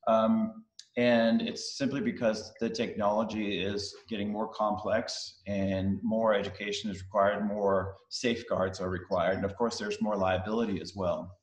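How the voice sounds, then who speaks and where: A middle-aged man from Denmark whose first language is Danish, giving a casual, halting talk on a video call with many fillers and restarts. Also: lowish voice, flat delivery. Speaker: guest speaker. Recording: roomy echo on a video call.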